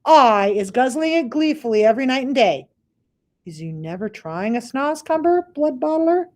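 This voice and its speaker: A woman's voice: high voice, expressive, normal speed, darkish tone, voice pushed up. A woman voicing a kindly old male giant who coaxes earnestly in mangled grammar. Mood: coaxing.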